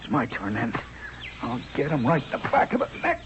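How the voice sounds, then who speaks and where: A man's voice: medium pitch, wildly swooping pitch, a little fast. An actor playing a bold, defiant boy who leads his playmates as a commander, in a radio drama. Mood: commanding, eager. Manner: commanding, plucky. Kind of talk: old-time radio drama acting. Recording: muffled radio recording with noise.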